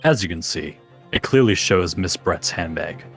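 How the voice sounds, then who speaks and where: A man's voice: sits low, expressive, moderate pace, creaky, full character voice. A man voicing a stern, formal courtroom authority in a fan dub of a video game. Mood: matter-of-fact. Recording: loud music behind.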